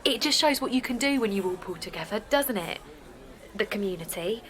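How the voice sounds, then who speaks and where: Woman acting friendly english mum, earnest, medium pitch, radio play. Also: lively intonation, brisk, fairly bright tone, lightly acted. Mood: happy, reflective. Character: eager, friendly young mother and campaigner. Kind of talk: naturalistic audio drama acting.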